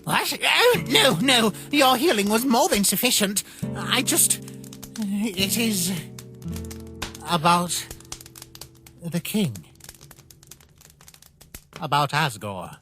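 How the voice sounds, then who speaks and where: A woman's voice: fairly low pitch, big pitch swings, takes it slowly. A woman voicing a polite, innocent young male skeleton in a comic dub, earnest and a little formal. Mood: hesitant, troubled. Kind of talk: comic dub character acting. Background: music.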